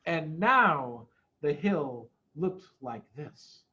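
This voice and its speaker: A male storyteller reads a children's tale aloud, theatrical and emphatic. His delivery is slow with big swings in pitch, and the recording is slightly dull. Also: expressive, pushed and bright. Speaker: storybook reader, school head.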